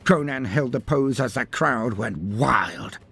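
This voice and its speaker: A man's voice: medium pitch, lively intonation, slow, a bit bright, soft background music. A man narrating a scripted story in a dramatic, grandiose, theatrical manner.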